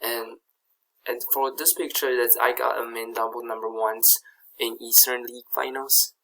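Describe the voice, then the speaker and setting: A man's voice: mid-range voice, level tone, moderate pace, vocal fry, bright, forceful tone, a little hiss or hum, rough recording. A young man from Taiwan, a native Chinese speaker with a Taiwanese accent, giving a slide presentation in a hesitant way, with many fillers and restarts. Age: young adult. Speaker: student presenter.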